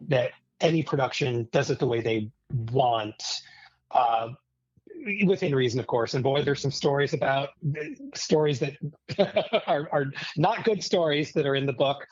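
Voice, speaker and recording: A man's voice: medium pitch, measured pace, dry recording, muffled recording. A middle-aged American man speaking as a guest in a casual interview, in a reflective, anecdotal way.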